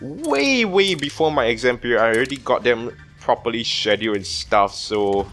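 Animated young man rambling over game music. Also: medium pitch. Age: young adult. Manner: rambling, halting, repeats words, swears. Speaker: gaming video host. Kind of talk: casual let's play commentary.

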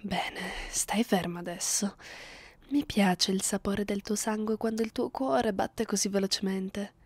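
A woman voicing a cruel, bored, centuries-old female vampire in a close ASMR roleplay, condescending and deliberate, often slipping into whispers. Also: mid-range voice, steady tone, darkish tone, breathy edge, dry recording. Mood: possessive, commanding. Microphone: close microphone, dry sound.

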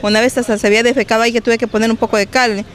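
A woman's voice: fairly high pitch, flat delivery, racing. A woman who sells juice at a stall, answering a street interview in an exasperated, complaining way.

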